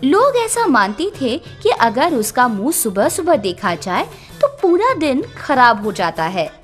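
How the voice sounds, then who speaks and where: A woman's voice: high voice, wildly swooping pitch, unhurried. A woman narrating a scripted story for children. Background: background music.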